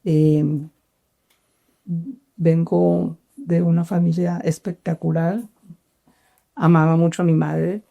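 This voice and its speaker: A middle-aged woman from Cali, Colombia, with a Colombian accent, telling her life story in an interview, halting with many fillers and restarts. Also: on the low side, little pitch movement, creaky, dark tone. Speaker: interview guest.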